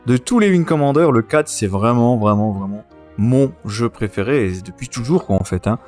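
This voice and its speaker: A man's voice: mid-range voice, expressive, normal speed, a little mellow. A man chatting casually and enthusiastically as host of a retro gaming podcast. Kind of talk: casual podcast chat about retro video games. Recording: music playing behind the voice.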